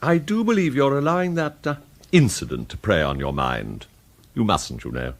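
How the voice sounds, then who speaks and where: An actor playing a coldly menacing villain in a radio thriller, with an English accent and a polite, formal, unhurried, condescending manner. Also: male voice, medium pitch, animated, close to an everyday voice. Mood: cool interrogation. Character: courteous, coldly menacing male villain in command.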